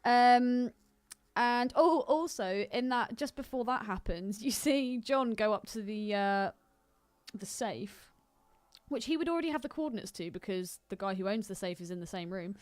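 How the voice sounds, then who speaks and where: Female podcast co-host, higher-pitched and very lively, blunt teasing banter, casual delivery, close dry sound. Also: normal speed, dry recording. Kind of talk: casual film commentary banter.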